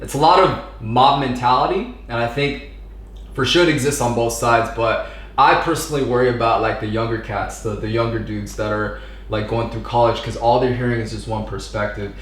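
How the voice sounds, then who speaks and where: A man in his thirties from Southern California chats as a podcast guest. He rambles easily, with filler words and slang, in a mid-pitched voice. The sound bounces around a noticeably echoey room. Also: steady tone, fairly quick, fairly bright tone. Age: 30s. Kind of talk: casual conversation.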